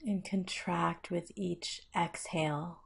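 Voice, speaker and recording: Slow-paced woman guiding a meditation. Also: on the low side, steady tone, creaky, husky. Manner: measured, instructional, with long pauses. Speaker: meditation guide. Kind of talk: guided meditation.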